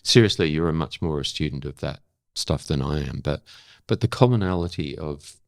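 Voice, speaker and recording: A deep, low-pitched older man talks in a reflective interview. He feels his way through sentences, pausing thoughtfully to find the right word. The sound is dry and close. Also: lively intonation, creaky, no room sound. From Australia. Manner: halting, thoughtful, searching for words. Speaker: interview guest. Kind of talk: reflective long-form conversation.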